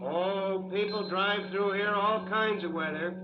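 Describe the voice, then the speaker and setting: An actor playing a polite, chatty gas station attendant with a folksy manner in a 1940s radio drama. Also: male voice, high-pitched, fairly even intonation, unhurried, music in the background. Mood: chatty, amused. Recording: muffled noisy old radio recording.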